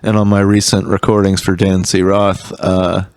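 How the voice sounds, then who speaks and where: A singer-songwriter man talks casually, with his thoughts wandering and trailing off. His voice sits fairly low. The sound is close and dry, with hardly any room in it. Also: flat delivery, moderate pace, vocal fry, darkish tone, no room sound. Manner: loose, rambling, trails off mid-thought. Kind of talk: casual chat. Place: home studio.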